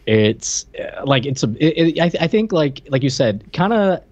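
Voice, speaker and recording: A man's voice: a little high, very animated, normal speed, darkish tone, no room sound. A middle-aged man co-hosting a podcast, chatting casually into a close desk microphone on a slightly dull stream. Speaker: podcast co-host. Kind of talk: casual chat on a film review stream. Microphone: desk microphone close up, video call.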